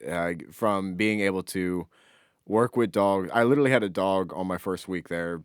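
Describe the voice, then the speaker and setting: A man's voice: deep voice, normal speed, clear, resonant tone, no room sound, clean studio sound. A man co-hosting a podcast in casual, informal chat, with some offhand swearing. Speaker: podcast co-host.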